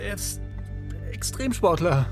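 A man's voice: high-pitched, sing-song, slow pace, music in the background, heavily acted. An actor playing a defensive, grumbling man in a radio drama, indignant and sarcastic. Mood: flustered. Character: sulky, defensive male lover, a petty civil servant. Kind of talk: German radio drama acting.

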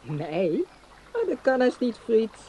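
A woman's voice: fairly high pitch, big pitch swings, slow, warm tone, a little hiss or hum. An actress playing a lonely, pious older woman in a radio play, pleading and insistent. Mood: coaxing.

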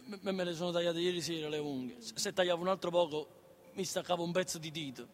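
A man's voice: on the high side, fast talker, close to an everyday voice. An actor playing a simple, childlike man of nearly twenty in a radio play, with a Neapolitan accent, stammering and insistently repeating his words. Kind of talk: naturalistic radio drama acting.

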